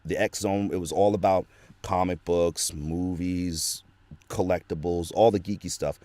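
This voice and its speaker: A man's voice: low-pitched, steady tone, measured pace, warm tone, no echo. A man telling his story in a casual interview, earnest and rambling with lots of fillers, into a home studio microphone. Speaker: guest, actor and voice actor.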